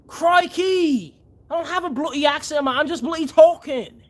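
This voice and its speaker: A man's voice: very high voice, normal speed, pitched above the performer's own voice. A man putting on a mock British accent with exaggerated, huffy indignation. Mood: mock indignant.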